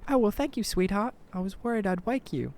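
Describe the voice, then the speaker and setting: A man's voice: sits high, lively intonation, fairly quick, darkish tone, pitched above the performer's own voice. A man voicing a sweet, coy and pushy elderly English woman in a storytelling narration, cooing endearments. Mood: friendly, sweet. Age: 70s.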